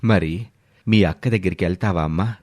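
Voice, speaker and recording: A man voicing a kindly older neighbour in a story, with a gentle, fatherly way of asking. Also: sits low, a little fast, dry recording, pitched below the performer's own voice, close to an everyday voice. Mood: concerned, gentle.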